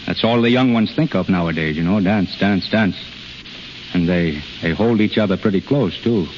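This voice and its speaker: A man's voice: low-pitched, normal speed, natural voice. A man acting a brash, cocksure unqualified healer, blunt and theatrical in a 1930s radio drama. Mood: taunting. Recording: muffled, noisy 1930s radio recording.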